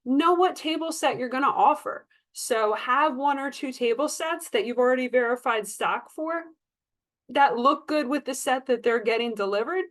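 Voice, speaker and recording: A woman's voice: high-pitched, moderate pace, fairly bright tone. A woman working as a sales trainer, talking staff through tips in a conversational, emphatic coaching manner. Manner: coaching, emphatic, conversational, acts out example dialogue. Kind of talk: informal staff training talk.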